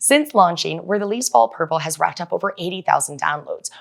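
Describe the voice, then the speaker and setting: A woman's voice: on the low side, lively intonation, brisk, creaky. A woman hosting a talk show, gushing and slangy as she introduces and chats with guests. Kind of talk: hyped scripted introduction and chat.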